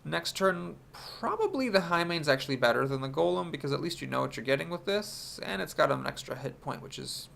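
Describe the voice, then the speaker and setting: A man's voice: fairly high pitch, expressive, fairly quick, vocal fry, few pauses, a bit bright, a little hiss or hum. A young man with a congested voice from a cold, thinking aloud as he commentates his own card game. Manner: analytical, thinks aloud about plays. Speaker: game streamer.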